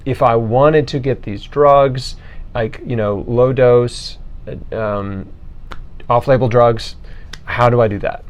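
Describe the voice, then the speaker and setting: A man with an average-pitched voice hosts a casual interview. He talks informally, in loose colloquial phrases, as in everyday conversation. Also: steady tone, slow pace, highs cut off. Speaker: interviewer, host.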